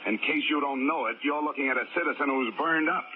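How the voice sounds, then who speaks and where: A man's voice: medium pitch, quick pace, slight background noise, lightly acted. An actor playing a wisecracking, hard-boiled male insurance investigator who narrates his own case in a 1940s radio drama. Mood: angry. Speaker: narrator and lead. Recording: muffled old radio recording with music.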